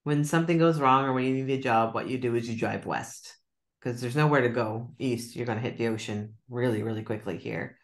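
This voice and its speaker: A woman's voice: extremely low pitch, fairly quick. A middle-aged woman joins a book club by video call, talking about her writing habits in a loose, chatty way. She sounds self-deprecating and laughs easily as she goes. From Nova Scotia, Canada. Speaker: novelist guest. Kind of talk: casual book club conversation.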